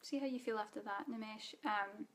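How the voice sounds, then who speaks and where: A Scottish woman leading a live online spiritual training, speaking softly and calmly, guiding listeners with frequent ums. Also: medium pitch, fairly quick, slight background noise. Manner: soft guided-meditation delivery with fillers. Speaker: spiritual teacher.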